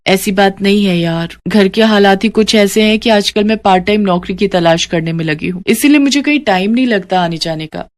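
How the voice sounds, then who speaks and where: A woman's voice: medium pitch, fairly quick, hardly any pauses, natural voice. A woman voicing a poor, earnest and polite young woman in a cartoon story. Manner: polite, deferential. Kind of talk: acted dialogue in a Hindi cartoon story.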